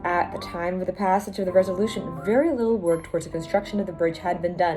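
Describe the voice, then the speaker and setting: Woman reading a court opinion over soft music. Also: mid-range voice. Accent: American. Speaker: law student reading case law aloud.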